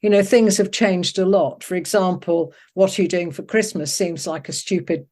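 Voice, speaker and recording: Older woman, low pitch, thoughtful, searching for words, reflective interview talk. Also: steady tone, normal speed, a little mellow. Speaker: interviewed poet.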